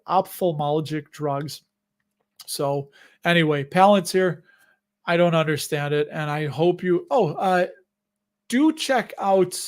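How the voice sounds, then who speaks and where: A man's voice: on the high side, darkish tone. A middle-aged man with a Chicago accent hosts a casual investing livestream, answering viewer questions in a rambling, chatty way and laughing at his own asides. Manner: rambling and chatty, with frequent restarts and self-amused laughs. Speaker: host of a dividend investing livestream.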